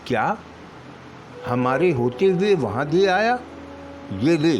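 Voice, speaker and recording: A man's voice: fairly high pitch, expressive, slow pace, darkish tone, a little hiss or hum. A man voicing a bullying young party activist who makes curt, threatening demands in a told story. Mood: bullying, demanding. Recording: music behind the voice.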